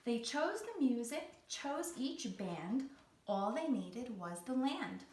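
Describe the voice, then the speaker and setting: A woman's voice: on the high side, very animated, takes it slowly, darkish tone, a little hiss or hum. A woman speaking as a children's read-along host and teaching artist, in an encouraging, theatrical storytelling manner with carefully phrased lines. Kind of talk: guided exercise and storytelling for young children. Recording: roomy echo, distant from the mic.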